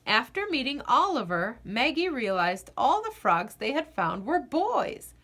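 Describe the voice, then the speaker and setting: Animated, bright-voiced woman reading a storybook. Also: on the high side. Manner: animated, deliberate, with dramatic pauses. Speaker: children's storybook reader. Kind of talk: expressive read-aloud narration of a picture book.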